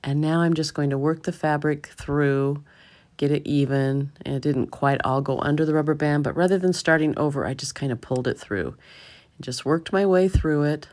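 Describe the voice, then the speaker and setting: Chatty, self-deprecating woman crafter, slightly hoarse. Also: sits low, moderate pace, darkish tone. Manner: chatty, self-deprecating, chuckles at own mishaps. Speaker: craft tutorial host. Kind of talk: casual voice-over narration of a DIY craft demo.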